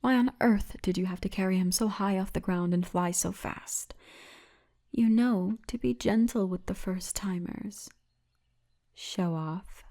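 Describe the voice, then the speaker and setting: A woman's voice: medium pitch, a little slow, dark tone, close to an everyday voice. A woman playing a poised, seductive orc tour guide in an ASMR fantasy roleplay, teasing and often half-whispering. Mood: scolding.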